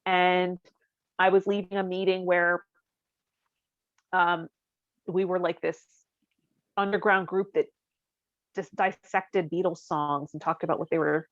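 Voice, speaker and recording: A woman's voice: lowish voice, level tone, a little slow, long pauses, no echo. A woman co-hosting a podcast, chatting casually in a musing, giggly way. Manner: giggly, laughs often while talking. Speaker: podcast co-host. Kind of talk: casual chat. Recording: dull, lo-fi, dry audio.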